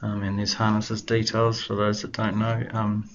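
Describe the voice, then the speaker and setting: A man's voice: on the low side, monotone, normal speed. A man with a New Zealand accent hosting a webinar, opening casually with lots of hesitant fillers. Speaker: webinar host. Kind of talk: webinar introduction. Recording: dull lo-fi webinar audio with faint background noise.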